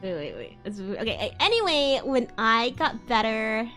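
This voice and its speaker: High-voiced woman doing a theatrical live reading. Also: big pitch swings, moderate pace, a bit bright, faint music behind. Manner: playful, exaggerated, giggly, sweary. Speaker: streamer, host of a live read-along. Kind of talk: theatrical dramatic reading with amused asides.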